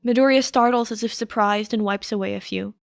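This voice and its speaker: A woman's voice: mid-range voice, fairly even intonation, brisk, gravelly, dry recording. Woman narrating in a dry, close recording. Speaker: podfic narrator. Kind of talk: fiction narration and reflective commentary.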